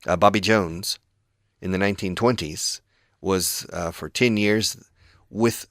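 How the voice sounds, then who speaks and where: A man with a low voice teaches English on the radio. He explains phrases patiently, moving between two languages. The studio sound is dry and close. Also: measured pace, fairly bright tone, no room sound.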